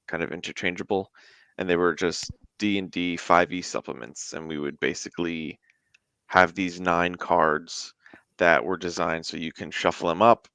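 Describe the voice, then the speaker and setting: A man's voice: deep voice, fairly bright tone, smooth, dry recording. A man speaking as a guest in a casual interview, with a rambling, conversational delivery. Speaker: guest, indie tabletop game creator.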